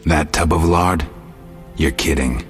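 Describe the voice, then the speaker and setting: An actor voicing a grim, sardonic monster hunter in a video game, dry and laconic. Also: male voice, very deep voice, takes it slowly, soft background music. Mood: sardonic, confident.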